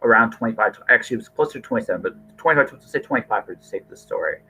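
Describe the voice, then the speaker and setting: A man's voice: lowish voice, animated, very fast, pushed and bright, breathy edge, faint music behind, poor audio. A man talking casually as a podcast host, earnest and rambling, often restarting his phrases.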